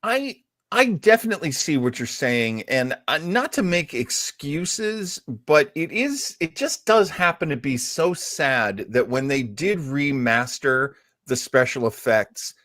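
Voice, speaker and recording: A man's voice: a little high, sing-song, measured pace, fairly bright tone, dry recording, clean studio sound. A man hosting a podcast in a casual, conversational way. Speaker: podcast host.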